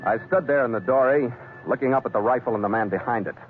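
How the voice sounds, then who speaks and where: Actor as seafaring skipper on tinny old radio. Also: male voice, mid-range voice, fast, natural voice. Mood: wry, measured. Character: steady seafaring ship's skipper narrating his log. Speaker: lead actor and narrator. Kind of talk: 1940s radio adventure acting. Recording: tinny 1940s radio recording with music.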